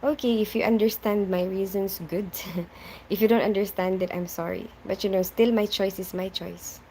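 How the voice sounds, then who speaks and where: A young woman who grew up in the Philippines hosts a casual live stream, greeting viewers by name and replying to comments with frequent laughs. Her voice sits at a middle pitch, and she talks through a home mic. Faint background noise runs underneath. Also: steady tone, moderate pace, dark tone, rough recording. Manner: chatty, reads viewer names and answers them, laughs often.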